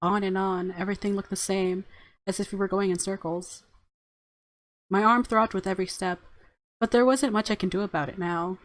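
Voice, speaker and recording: A woman's voice: medium pitch, fairly even intonation, moderate pace. A woman game streamer reading a visual novel aloud and reacting casually. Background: faint game music.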